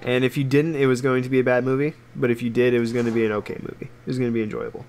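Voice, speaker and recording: Mid-pitched man chatting over background noise. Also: little pitch movement, very fast, a little mellow. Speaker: podcast co-host. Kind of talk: casual opinionated chat.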